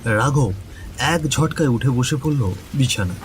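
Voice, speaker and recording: A man narrates a suspense story at a very slow, deliberate pace, in a mid-pitched voice. Faint rain sounds sit behind him. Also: expressive, noisy background. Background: rain ambience.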